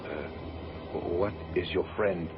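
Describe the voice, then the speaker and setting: An actor playing a courteous, wondering alien lord in a radio drama, with halting, formal, measured phrasing. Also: male voice, low-pitched. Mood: hesitant, wondering. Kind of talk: old-time radio drama acting. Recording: dull radio recording over music.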